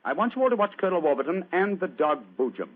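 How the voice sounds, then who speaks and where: A man playing a shrewd, courteous gentleman detective in a radio drama, formal and theatrically authoritative. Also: on the high side, big pitch swings, fast. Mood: commanding, explanatory. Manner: hesitant coaxing, formal explaining. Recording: muffled, lo-fi old radio recording.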